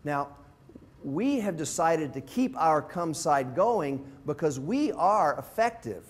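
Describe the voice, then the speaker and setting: A man's voice: on the high side, very animated, slow pace, breathy edge. An older man, around sixty, a pastor giving an informal, plain-spoken teaching talk to a group, explaining things step by step. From from abroad, visiting South Africa. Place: indoor classroom with whiteboard and screen. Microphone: lapel mic.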